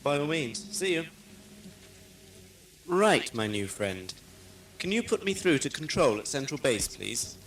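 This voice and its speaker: A man's voice: fairly high pitch, big pitch swings, a little slow, long pauses, slight background noise. A man playing a cocky, impatient prison ship guard in an audio drama, with a brusque, informal manner. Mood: casual, cocky. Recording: loud music behind, 1980s cassette.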